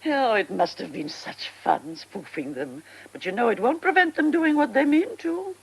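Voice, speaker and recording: An actress playing an elderly genteel former stage star, theatrical and gracious, with an upper-class English accent and a husky, breathy voice, in a British radio drama. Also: female voice, fairly high pitch, animated, moderate pace, full character voice, rough recording. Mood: confiding, resolute. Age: 60s or older.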